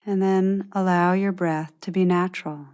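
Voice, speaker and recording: A woman guiding a meditation in a gentle, unhurried, soothing manner, giving calm instructions with long pauses. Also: fairly low pitch, clean tone. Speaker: meditation teacher guiding a practice. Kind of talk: guided meditation, scripted instruction.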